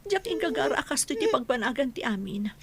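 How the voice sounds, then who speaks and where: A woman's voice: high-pitched, very animated, fairly quick, gravelly, crowd noise, exaggerated voice. A woman playing a remorseful, tearful mother pleading with her children in an Ilocano radio drama.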